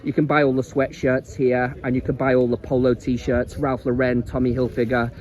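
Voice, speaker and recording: A man's voice: medium pitch, little pitch movement, moderate pace, a little mellow. A man with a Liverpool accent giving a rambling, chatty travel guide while walking along an outdoor seaside promenade. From England. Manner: chatty, rambling, repeats words. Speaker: travel vlogger. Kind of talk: casual walking travel vlog.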